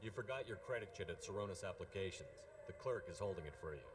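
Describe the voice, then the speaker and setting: A hard-edged military commander from a video game, low in pitch and quick in delivery. He is blunt and controlled, heard slightly off-mic in noisy audio. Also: animated, far from the mic. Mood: stern.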